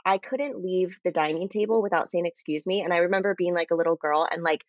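A woman's voice: fairly low pitch, quick pace, no room sound. A woman chatting casually and reflectively as a guest on a podcast. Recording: slightly dull, lo-fi sound.

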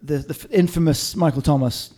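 A middle-aged man from Hong Kong and Singapore chatting casually as a podcast co-host, telling stories in an animated, sweary fan's manner. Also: a little high, fairly quick. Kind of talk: casual chat with football reminiscing.

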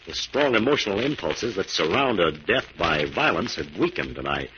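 An actor playing a calm, serious, analytical crime fighter in a 1940s radio adventure, explaining things in a measured way. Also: male voice, sits low, full character voice. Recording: muffled, noisy 1940s radio recording.

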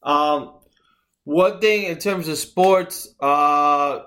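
Male podcast co-host, laid-back, casual cursing, slightly high voice. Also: bright, forceful tone. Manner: laid-back, quick to agree, casual swearing. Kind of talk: casual chat.

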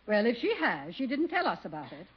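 A woman's voice: medium pitch, very animated, brisk, slight background noise, lightly acted. An actress playing a gracious middle-aged mother-in-law in a radio comedy, polite and proper. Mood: polite, hostly. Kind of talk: 1950s radio comedy acting. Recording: muffled old radio recording.

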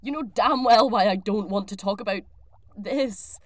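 A woman playing a guarded, brooding alchemist in an audio drama, curt and defensive, choosing her words in a measured way. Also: mid-range voice, no room sound, studio quality, lightly acted. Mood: defensive, irritated.

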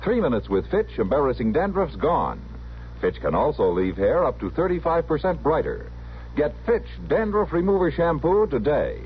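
Casting: American male announcer, 1950s radio style, very animated delivery, dull vintage broadcast sound. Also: on the high side, moderate pace, slight background noise.

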